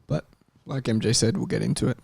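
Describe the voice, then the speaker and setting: A man's voice: mid-range voice, a little fast, creaky, darkish tone, breathy edge, no room sound. A man bantering casually as a panellist on a podcast.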